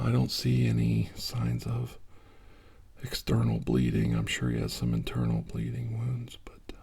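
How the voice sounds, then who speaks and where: A man playing a calm, caring 1940s army doctor in an ASMR role-play, murmuring hesitantly and often dropping to a whisper. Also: fairly low pitch, gravelly. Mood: concerned, soothing. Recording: noisy recording with clicks.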